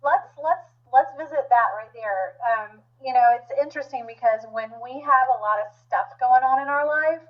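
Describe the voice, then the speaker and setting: A woman's voice: high-pitched, normal speed, few pauses. A woman hosting an interview chat, speaking in an encouraging, motivational way. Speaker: host and book organizer. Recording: dull lo-fi line.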